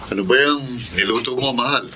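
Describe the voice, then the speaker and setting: A man's voice: medium pitch, a little fast, background noise, close to an everyday voice. An actor in a Filipino radio drama playing a patient, conciliatory husband and father with an earnest, pleading manner. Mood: calm, pleading.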